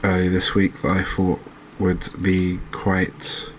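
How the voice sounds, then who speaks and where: A man with a low voice and very level intonation gives soft ASMR gameplay commentary. He sounds gentle and unhurried. Faint background ambience sits under his voice. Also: monotone, a little hiss or hum, rough recording. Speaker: video game streamer.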